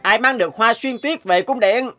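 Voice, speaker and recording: High, bright theatrical royal servant. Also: male voice, moderate pace. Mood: proclaiming. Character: put-upon royal servant of a tyrant queen. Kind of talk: animated fairy-tale acting.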